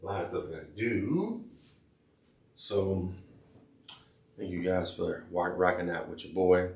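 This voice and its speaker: Hoarse deep-voiced man rambling. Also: unhurried, darkish tone. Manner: rambling, full of fillers and restarts. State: hoarse, losing his voice. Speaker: channel host. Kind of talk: casual rambling vlog talk. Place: indoors in a room. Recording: echoey room, mic at a distance, faint noise.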